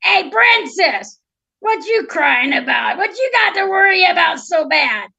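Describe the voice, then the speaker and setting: A woman voicing a small, cocky male talking frog in a fairy tale, a slick hustler with loud, slangy, wheedling talk. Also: very high voice, big pitch swings, measured pace, creaky, lo-fi sound, voice pushed up, heavily acted. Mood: loud, needling. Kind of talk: storytelling character voice.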